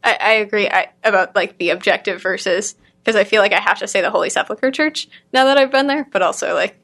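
Young woman hosting, crisp bright voice, keeps things moving. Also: medium pitch, fast. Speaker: podcast host. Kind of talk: casual chat.

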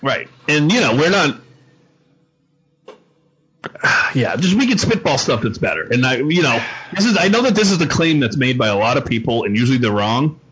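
Man, very husky rough voice, medium pitch, casual podcast co-host, slightly dull lo-fi audio. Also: big pitch swings, moderate pace, a bit bright. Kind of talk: casual TV fan discussion.

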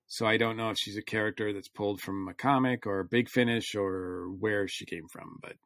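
A man's voice: fairly low pitch, steady tone, moderate pace, a bit bright, no echo, clean studio sound. A man co-hosting a podcast, chatting casually like an enthusiastic fan. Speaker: podcast co-host reviewing comics. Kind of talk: casual chat.

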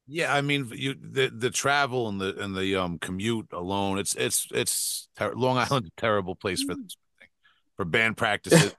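A man's voice: lowish voice, moderate pace, fairly bright tone, dry recording, studio quality. A man in his forties hosting a casual interview, asking rambling questions with many restarts. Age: 40s. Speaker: podcast host.

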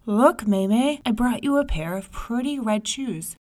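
A cunning old tigress from a storybook, disguised as a sweet old woman and coaxing a child, as a woman storyteller puts her on. Her voice is raised and wheedling, a little higher than plain talk. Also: animated, measured pace, darkish tone.

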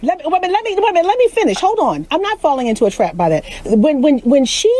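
A woman's voice: high-pitched, big pitch swings, very fast, warm tone. A woman in a heated panel debate, insistently repeating phrases as she fights to finish her point.

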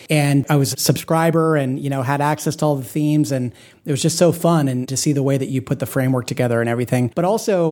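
A man's voice: a little high, a little mellow. A man hosting a podcast about independent work, interviewing a guest in a friendly, admiring way. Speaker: podcast host.

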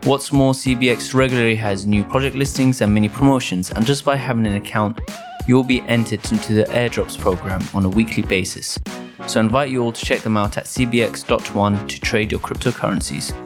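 A man's voice: lowish voice, fairly quick, over music. A man hosting a podcast, reading a scripted intro and then moderating a live panel before an audience at an expo booth. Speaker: podcast host.

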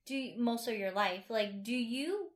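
A woman's voice: a little high, lively intonation, measured pace. A woman whose first language is Vietnamese, chatting casually and asking questions with many fillers. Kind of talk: casual conversation.

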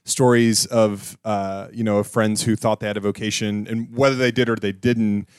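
A man co-hosting a casual conversation, playful and quick to laugh. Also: fairly low pitch, flat delivery, darkish tone, clear, resonant tone, dry recording, studio quality. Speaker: podcast co-host. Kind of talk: casual chat.

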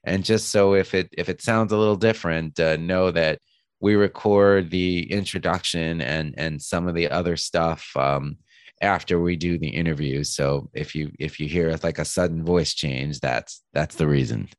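A hoarse man with a cold, hosting a podcast from his home studio, talking in an earnest, thoughtful way with frequent restarts. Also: low voice, steady tone, moderate pace, no room sound. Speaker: podcast host. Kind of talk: podcast introduction.

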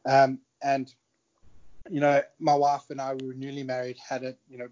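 A South African man in his thirties tells his story over a remote call, chatty and quick to chuckle. His voice sits in a middle range. The audio is muffled and lo-fi. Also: mid-range voice, completely flat delivery. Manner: chatty, warm, chuckles often. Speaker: guest, security contractor. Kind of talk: casual interview storytelling.

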